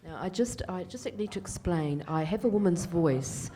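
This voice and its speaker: A woman's voice: on the low side, expressive, normal speed, warm tone, a little hiss or hum. A woman voicing a Deaf man on a panel, in the first person, hesitant with many fillers. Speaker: sign language interpreter voicing a Deaf male panellist.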